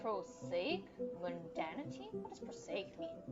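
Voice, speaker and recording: A woman's voice: lowish voice, very animated, unhurried, lo-fi sound. A young woman doing casual live game commentary, reacting aloud informally and muttering to herself. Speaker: game streamer. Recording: game music behind the voice.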